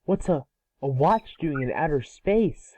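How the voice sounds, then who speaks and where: A man playing a sulky, sarcastic and excitable boy in a cartoon script read. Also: fairly high pitch, a little slow, slight background noise. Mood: curious. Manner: sarcastic, grumbling.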